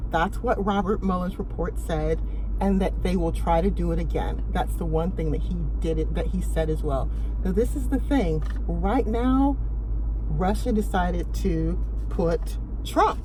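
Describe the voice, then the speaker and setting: A woman's voice: mid-range voice, mellow, rough recording. Emphatic woman host over noticeable background noise. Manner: emphatic, repeats phrases for stress. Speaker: show host. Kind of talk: casual political commentary to viewers and a guest.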